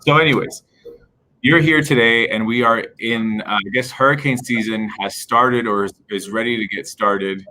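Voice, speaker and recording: A man's voice: mid-range voice, moderate pace, pushed and bright, low-quality recording. A man hosting a live-stream interview, asking long, conversational questions. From Palestinian background. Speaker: host, attorney.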